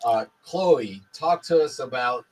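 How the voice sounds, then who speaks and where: A man hosting a radio show from a studio, talking in a relaxed, measured way. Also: mid-range voice, recorded from a distance. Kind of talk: casual radio chat.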